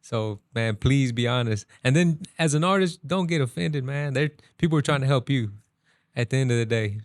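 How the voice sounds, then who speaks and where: A man's voice: mid-range voice, moderate pace, no echo, studio quality. A man appearing as a guest rapper in a casual chat, speaking in a laid-back, frank way.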